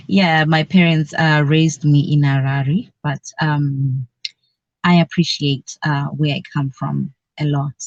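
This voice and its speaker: A woman's voice: sits low, a little mellow, no echo, lo-fi sound. A woman from Zimbabwe, a guest on a radio interview, chatting and laughing often between phrases. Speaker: guest, cultural advocate.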